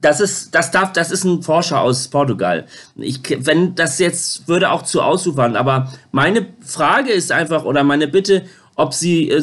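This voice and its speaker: A man in his thirties rambling through an informal phone interview, halting and repeating his words, recorded indoors at home. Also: a little high. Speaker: YouTuber making a phone call on camera.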